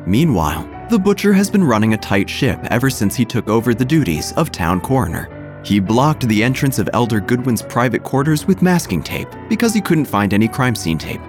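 A man's voice: medium pitch, sing-song, fairly quick, faint music behind. A man narrating scripted comic horror in a wry, theatrical manner.

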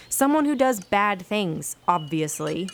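A woman's voice: medium pitch, big pitch swings, takes it slowly, vocal fry, a little hiss or hum, heavily acted. A woman playing a blunt, determined, sarcastic traveler with an impatient, exasperated manner in a comedic fantasy audio drama. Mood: firm, sardonic.

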